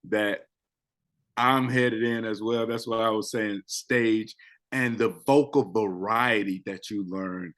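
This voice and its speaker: A man's voice: lowish voice, takes it slowly. A man who is a public speaking coach and co-host, chatting on a talk show and repeating phrases for emphasis. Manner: animated, swings loud and soft for effect. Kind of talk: casual show chat.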